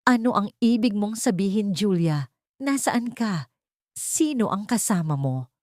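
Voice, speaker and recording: A woman's voice: mid-range voice, animated, measured pace, a little mellow, no room sound, clean studio sound, pitched above the performer's own voice, natural voice. A woman narrator voicing a cruel, scheming husband with urgent, clipped questions in an audiobook. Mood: shocked, panicky.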